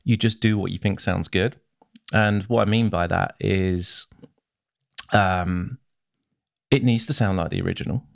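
A man co-hosting a casual podcast, a working band musician who explains things in a relaxed way with dry teasing. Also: low voice, unhurried, gravelly, long pauses, no echo.

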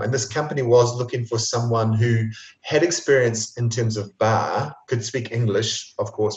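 A man in his forties with a New Zealand accent, a coffee trainer talking through his story as a podcast guest, relaxed and full of ums. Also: mid-range voice, echoey room, dull audio.

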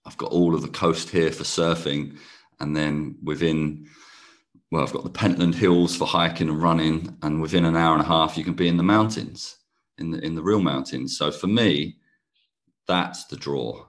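A man from Kent, a podcast guest, talking in a relaxed, enthusiastic way with a slightly croaky voice. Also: extremely low pitch, moderate pace. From Kent, England. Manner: relaxed, enthusiastic, laughs easily. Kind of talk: casual interview chat.

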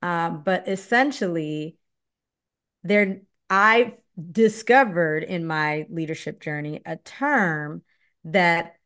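Animated woman with a lowish voice. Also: takes it slowly, clean tone. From Belize. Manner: animated and laughing, acting out others' questions. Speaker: guest, leadership firm founder. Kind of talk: casual interview talk.

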